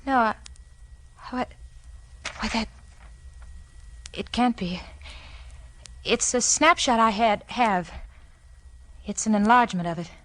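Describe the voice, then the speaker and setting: A woman's voice: fairly high pitch, slow pace, long pauses, fairly bright tone. A woman acting an anxious married woman under suspicion in a 1940s radio crime drama, earnest and flustered.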